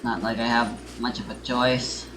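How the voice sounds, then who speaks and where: A man's voice: mid-range voice, level tone, moderate pace, echoey room, background noise. A man giving casual, dry gameplay commentary, muttering to himself. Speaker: gamer playing on recording. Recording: game music clearly behind the voice. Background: video game music.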